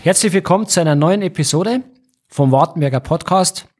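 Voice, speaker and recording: A man with a Bavarian accent hosting a podcast interview in an informal, somewhat halting conversational way. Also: fairly high pitch, lively intonation, a little mellow, soft background music.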